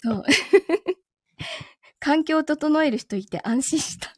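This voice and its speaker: A woman's voice: sits high, animated, slow, darkish tone, no echo. A woman chatting casually on a live stream in a playful, bantering way, close to a studio microphone in a recording booth. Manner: playful, frequently laughing banter. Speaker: voice performer hosting a live stream. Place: small home recording booth.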